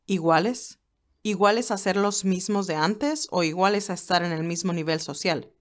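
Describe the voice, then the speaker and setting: A woman's voice: on the low side, moderate pace, close to an everyday voice. A woman voicing a loyal, businesslike male assistant who speaks politely and formally in an audio story. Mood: attentive, composed.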